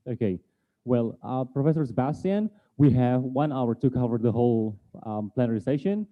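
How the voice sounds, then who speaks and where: A man moderating an online conference, reading out a speaker introduction in a careful, slightly halting way. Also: medium pitch. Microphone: video call. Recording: tinny phone-like video call audio.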